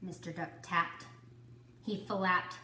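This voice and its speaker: Lady reading a kids book, bright voice, slow n dramatic, roomy with a bit of hum. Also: lowish voice, fairly even intonation. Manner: deliberate, dramatic phrasing. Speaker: storybook reader for kindergarteners. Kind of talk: expressive children's story narration.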